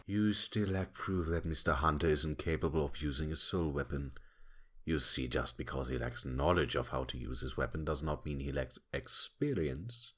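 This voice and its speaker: Very deep, raspy, haughty prosecutor. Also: male voice, a bit bright, heavily acted. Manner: theatrical, condescending, drawn-out delivery. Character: haughty taunting male prosecutor in animal courtroom. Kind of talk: audio drama acting.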